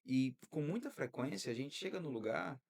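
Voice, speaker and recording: A man hosting a podcast interview, talking in a lively way and drifting into preacherly asides. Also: fairly high pitch, moderate pace, creaky. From Brazil. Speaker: podcast host. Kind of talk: casual interview.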